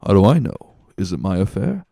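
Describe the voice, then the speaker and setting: A man plays a scheming, scornful plotter in a classic storybook drama. The voice is mellow with a creaky edge. He talks with sly, measured condescension. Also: deep voice, sing-song, dry recording, clean studio sound, exaggerated voice. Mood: sly, goading. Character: cunning, scornful, smooth-talking male schemer.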